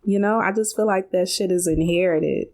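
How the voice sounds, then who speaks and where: A woman's voice: fairly low pitch, moderate pace, a little mellow. A woman co-hosting a podcast, telling stories in a casual, conversational way with colloquial asides. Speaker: podcast co-host.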